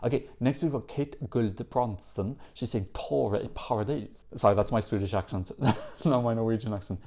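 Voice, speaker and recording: A man's voice: lowish voice, moderate pace, dark tone. A man hosting a Eurovision reaction video, giving chatty, opinionated commentary and correcting himself as he goes. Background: faint music from the songs being reacted to.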